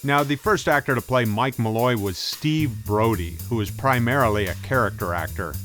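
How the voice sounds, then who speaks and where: A man's voice: mid-range voice, moderate pace, a bit bright, soft background music. A man hosting a scripted video essay in a conversational style, as a film commentator.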